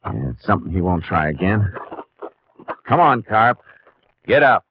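A man playing a steady, commanding Texas Ranger lawman in a 1950s radio western. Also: deep voice, unhurried, background noise. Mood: stern. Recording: dull vintage 1950s radio recording.

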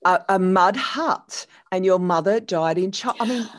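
A woman hosts an interview and gently draws her guest out with questions. She keeps things moving with short, encouraging backchannels while the guest talks. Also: medium pitch, unhurried. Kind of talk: casual interview.

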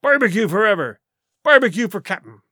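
A man voicing rough, superstitious pirate crewmen in an audiobook, with uneducated seafaring speech. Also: high voice, big pitch swings, normal speed, bright, forceful tone, no echo, clean studio sound, full character voice. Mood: cheering. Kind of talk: audiobook character voices.

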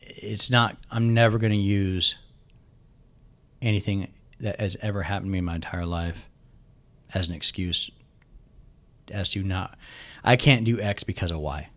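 Man in his thirties, very deep voice, emphatic, repeats words, voice worn from illness. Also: moderate pace, long pauses, a bit bright. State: recovering from losing his voice. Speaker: military veteran guest. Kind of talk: casual podcast conversation.

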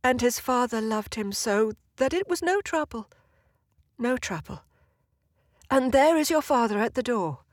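A man voicing a gentle, worried mother in an audiobook, in a soft, quiet falsetto. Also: animated, unhurried, no echo, studio quality. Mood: tender. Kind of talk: audiobook character voice.

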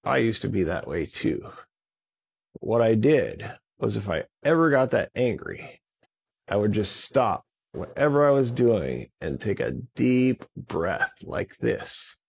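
A caring storybook friend, played by a man, with a gravelly, husky voice. He is earnest and reassuring, gently repeating himself, on a slightly muffled recording. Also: on the low side, sing-song, unhurried, exaggerated voice. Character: calm, caring male friend soothing a dragon.

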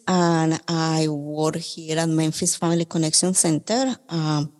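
A woman with a Colombian Spanish accent talks through ideas in a careful, measured way, with frequent pauses as she explains. Her voice sits a little low. A long reverb trails behind her words. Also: a little mellow. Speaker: occupational therapist guest. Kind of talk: podcast conversation, explaining.

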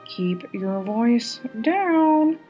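A woman's voice: a little high, sing-song, very slow, mellow, soft background music, pitched above the performer's own voice, heavily acted. A woman voicing a modest, cautious, tipsy male politician in a storybook reading. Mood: cautious warning.

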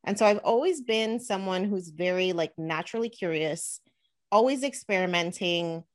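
A woman in her thirties from New Jersey, a podcast guest and money coach, talking in an energetic, chatty way in an interview. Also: lowish voice, moderate pace. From New Jersey, USA. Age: 30s. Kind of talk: casual interview talk.

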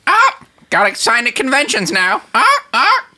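A woman's voice: high-pitched, wildly swooping pitch, measured pace, bright, forceful tone, slight background noise, full character voice. A woman voicing a washed-up aging male macaw actor, with clipped, squawky phrases. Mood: squawking. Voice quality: squawky, creaky. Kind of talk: improv sketch acting.

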